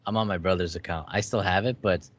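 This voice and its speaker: A man's voice: sits low, quick pace, muffled recording. A young man hosting a podcast from home, chatting casually and asking curious questions, with now and then a casual curse. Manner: relaxed, curious, swears casually. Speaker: podcast host. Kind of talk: casual video-call chat. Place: home gaming setup, indoors. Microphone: headset with desk mic.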